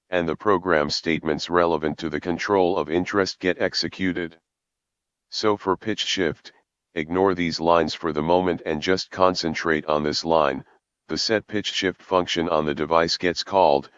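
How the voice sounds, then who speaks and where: A man presenting a scripted programming tutorial in a measured, explanatory way with formal wording. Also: low-pitched, fairly even intonation, fairly bright tone, clean tone, dry recording, dull audio.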